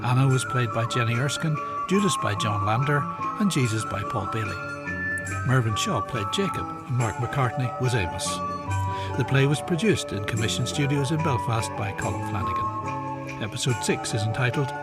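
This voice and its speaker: A man with a Northern Irish accent reading the credits in a plain, even announcing manner. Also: mid-range voice, normal speed. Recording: loud music bed under the voice.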